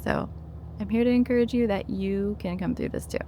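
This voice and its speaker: A woman's voice: medium pitch, huge pitch swings, brisk, mellow, a little hiss or hum. A woman in her thirties talking casually to camera, earnest and encouraging, with many fillers and restarts. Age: 30s. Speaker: vlogger sharing her withdrawal experience.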